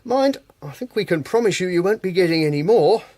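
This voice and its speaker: An actor playing a blunt, knowing police detective inspector in a radio drama, with a deliberate, dry, colloquial manner. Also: male voice, sits high, lively intonation, dark tone, no room sound. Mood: polite, brisk.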